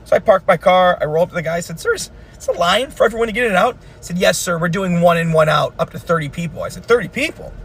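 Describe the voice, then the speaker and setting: A man telling an angry story about a store line, loud and heated. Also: sits high, breathy edge. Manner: loud, heated, mocking quoted voices.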